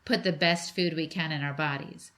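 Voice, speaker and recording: A woman's voice: deep voice, fairly even intonation, normal speed, a bit bright. A middle-aged woman hosting a podcast interview, asking curious questions with light laughter. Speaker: podcast host. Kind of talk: interview conversation.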